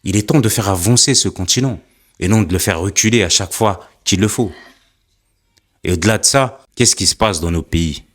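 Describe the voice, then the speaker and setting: A man's voice: lowish voice, normal speed, a bit bright. A man delivering earnest spoken commentary, building his point through repeated rhetorical questions. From Africa.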